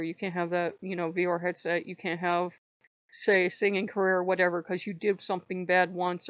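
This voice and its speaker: A slightly hoarse woman hosting her own channel, talking in an earnest, rambling way and repeating phrases for emphasis. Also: medium pitch, no room sound, lo-fi sound. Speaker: channel host. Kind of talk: casual spiritual vlog monologue.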